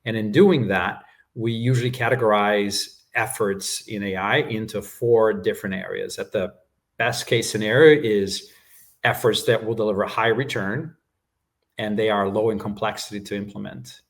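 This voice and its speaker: A man whose first language is Portuguese, calmly explaining his work as a guest in a business interview, with frequent fillers. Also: on the low side. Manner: measured explanations with frequent "uh" and "right?". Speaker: guest executive.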